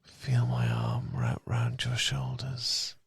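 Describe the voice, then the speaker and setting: A British man speaking as a loving boyfriend in a soft, husky, often whispered ASMR style, gentle, tender and unhurried, close to the microphone. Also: rumbling low, very animated, dry recording. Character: loving, soothing boyfriend comforting his partner. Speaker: ASMR audio performer. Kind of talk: ASMR, slow romantic guided relaxation. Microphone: close microphone, dry.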